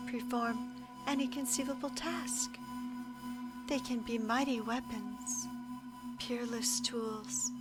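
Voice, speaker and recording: Woman voicing a slow, imperious alien hive queen. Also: a little high. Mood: regal, persuasive. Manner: formal, measured, commanding, coolly menacing. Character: imperious, calm alien insect hive queen speaking telepathically. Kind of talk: scripted audio roleplay monologue addressed to the listener.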